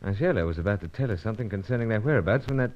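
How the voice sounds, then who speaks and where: An actor playing a British secret agent hero with a British accent, speaking in a clipped, understated way in an old radio drama. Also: male voice, on the low side, animated, racing, close to an everyday voice. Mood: dry. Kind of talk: 1950s radio drama acting. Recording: dull lo-fi old radio recording.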